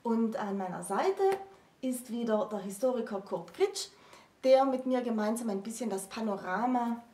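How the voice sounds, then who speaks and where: Woman in her 30s explaining stuff like a host, voice on the high side, roomy room sound. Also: lively intonation, moderate pace, mellow. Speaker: host presenting a literature discussion. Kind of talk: conversational educational presentation. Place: small book-lined room, indoors. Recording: roomy sound, distant microphone.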